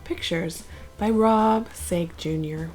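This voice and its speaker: A woman narrating a children's picture book aloud in an expressive storytelling style. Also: fairly low pitch, slow, faint music behind. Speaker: storybook narrator, channel host.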